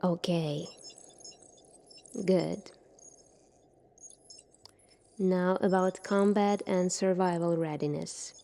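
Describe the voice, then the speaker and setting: A soft-spoken woman doing an ASMR roleplay as a calm military doctor, asking questions in a measured way. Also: fairly low pitch, gravelly. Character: calm seasoned future military doctor examining a space recruit.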